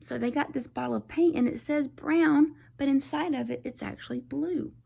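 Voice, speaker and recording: A woman teacher reading a picture book aloud to young children, expressively, pausing to explain things simply. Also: high-pitched, gravelly, dark tone.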